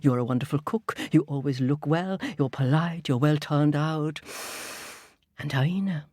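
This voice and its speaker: A woman's voice: low-pitched, a little mellow, no room sound, clean studio sound, voice pushed down. A woman doing a pompous lion king. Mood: gushing. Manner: grand, gossiping, drawn-out praise.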